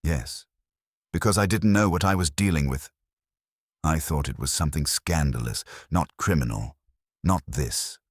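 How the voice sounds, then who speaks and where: Male reader as earnest woman in a mystery audiobook, guilt-laden, deep register, dry studio-like sound. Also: moderate pace, no echo. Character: woman client confessing, earnest and guilt-burdened.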